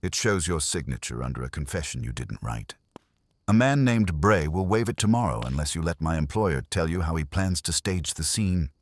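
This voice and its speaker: A man's voice: rumbling low, lively intonation, normal speed, no echo. A man reading the part of a wisecracking private detective's legman in an audiobook, wry, blunt and persuasive.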